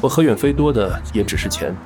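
A man playing a smooth, menacing casino boss in an audio drama, suave, teasing and commanding. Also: mid-range voice, animated, quick pace, over music, lightly acted. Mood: confident bargaining. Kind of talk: Mandarin audio drama acting.

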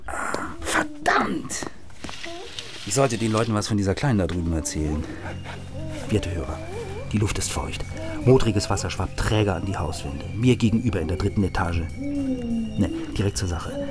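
Cynical radio reporter in his thirties, hot-tempered, pompous delivery, wildly swinging pitch, radio drama, music behind. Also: wildly swooping pitch, normal speed, long pauses. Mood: muttering, brooding. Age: 30s. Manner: pompous reporting breaking into coarse cursing. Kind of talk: German radio drama acting, mock live reportage.

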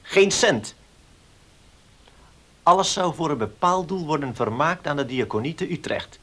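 An actor playing a formal notary in a Dutch radio play, speaking precisely and with measured care. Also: male voice, fairly high pitch, lively intonation, a bit bright. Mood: calm, firm. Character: formal, measured male village notary reading a will.

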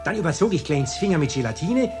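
A man's voice: on the high side, big pitch swings, fast. An actor playing a proud fingerprint expert in a radio crime drama, explaining his craft precisely and boastfully. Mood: proud, eager. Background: music.

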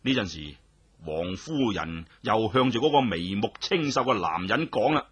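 Storyteller for Cantonese audiobook: lively swooping delivery, slightly raspy, dramatic, chatty asides. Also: mid-range voice, moderate pace. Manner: dramatic, colloquial, exclamatory asides. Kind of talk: animated scripted audiobook storytelling.

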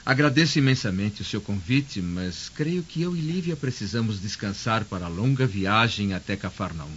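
An actor with a Brazilian accent playing a stern, protective Roman senator in a radio drama, speaking with formal, measured diction. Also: male voice, on the low side, expressive, slight background noise, close to an everyday voice. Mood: courteous, formal. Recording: dull lo-fi radio drama with music.

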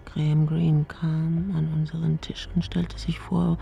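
A woman's voice: deep voice, flat delivery, creaky, mellow, lo-fi sound, full character voice. An older woman playing a frail, eccentric elderly mother in a radio play, nostalgic and imperious. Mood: dreamy, nostalgic. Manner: slurred, drowsy, halting recollection.

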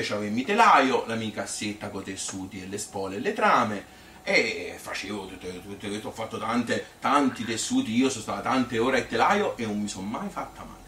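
A man with a Tuscan accent playing a nostalgic, cheerful old grandmother in a chatty, halting stage monologue. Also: fairly low pitch, bright, forceful tone, reverberant, far from the mic, a little hiss or hum. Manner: colloquial, halting, repeating phrases, chatty. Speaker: solo stage storyteller. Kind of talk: theatrical monologue as spontaneous spoken reminiscence.

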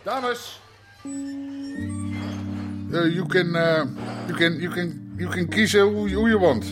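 An Amsterdam underworld boss. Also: male voice, high voice, takes it slowly, over music. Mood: hosting, bossy. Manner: broken English, commanding. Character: boss Harrie, older Amsterdam underworld man.

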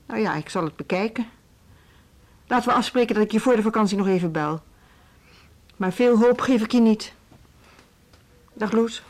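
A woman with a Netherlandic Dutch accent playing a firm, put-upon music school head in a radio drama, brisk and argumentative. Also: on the high side, darkish tone, close to an everyday voice. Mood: cool, resigned. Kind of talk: naturalistic radio play dialogue.

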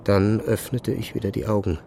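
An actor playing a troubled man who recounts his dark memories in measured, grave, suspenseful literary narration. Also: fairly low pitch, flat delivery, a little hiss or hum, natural voice. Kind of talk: literary first-person past-tense narration in audio drama.

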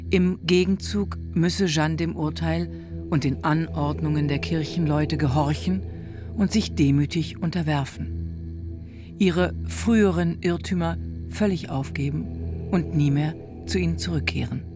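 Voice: medium pitch, natural voice. A performer playing a sober chronicler who reports formally and matter-of-factly from trial records in a radio drama. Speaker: narrator. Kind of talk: scripted documentary narration in a radio drama. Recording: voice over music. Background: music.

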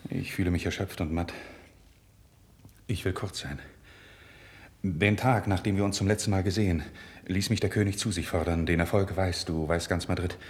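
An actor in a 1950s German radio drama playing a noble, self-sacrificing friend, speaking verse in a solemn, impassioned and declamatory way. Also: male voice, sits low, steady tone, normal speed, pauses often, close to an everyday voice. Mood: grave, tender.

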